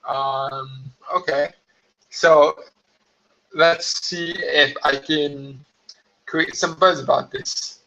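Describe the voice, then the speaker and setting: A hoarse man chatting casually on a live stream from home, rambling with many fillers. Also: on the high side, steady tone, extremely slow, pauses often, pushed and bright. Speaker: vlogger hosting a live stream. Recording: slightly dull lo-fi live stream audio.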